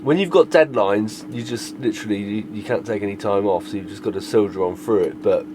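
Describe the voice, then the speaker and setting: A hoarse man with a raspy voice from a cold, chatting casually to camera in a relaxed, rambling way from his camper van. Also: on the low side, a little fast, noisy background. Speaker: van life vlogger. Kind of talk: casual vlog chat to camera. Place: inside a camper van at a campsite.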